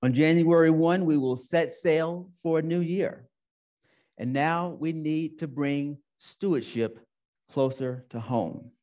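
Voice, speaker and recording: Man preaching a sermon in a church, slow and deliberate, repeats himself for emphasis. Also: a little high, warm tone. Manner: storytelling, repeats phrases for emphasis. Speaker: minister. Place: church sanctuary.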